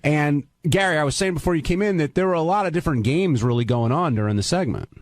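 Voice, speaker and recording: A man's voice: fairly high pitch, fast talker, no echo. A man hosting a radio talk show, steering casual banter with questions and comments. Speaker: radio host.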